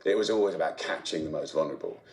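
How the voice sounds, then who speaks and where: A man talking informally as a commentator, halting and repeating words as he goes. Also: a bass voice. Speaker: speaker on food poverty. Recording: roomy, echoing sound.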